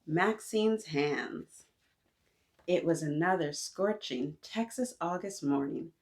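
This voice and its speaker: A woman's voice: on the low side, takes it slowly. A woman hosting a picture book read-aloud for young children, encouraging and sing-song, often putting questions to her listeners.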